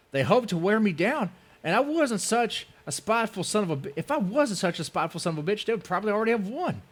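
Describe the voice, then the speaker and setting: A spiteful male blogger, as a man acts him out rants in a raised, swinging pitch. He is whiny and aggrieved, piling on indignation in a mocking dramatic reading. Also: slightly rough, heavily acted. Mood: aggrieved, defiant. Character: aggrieved, spiteful male blogger ranting about his enemies.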